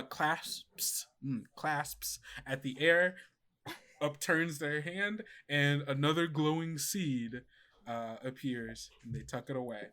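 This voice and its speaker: A man improvising narration as game master of a tabletop game, in a halting, amused way. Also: on the high side, pushed and bright.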